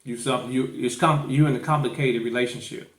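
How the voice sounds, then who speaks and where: A man's voice: medium pitch, steady tone, brisk. St guy on a podcast, real casual n opinionated, keeps repeating himself, roomy echoey sound. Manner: repetitive, emphatic, colloquial. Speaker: podcast host.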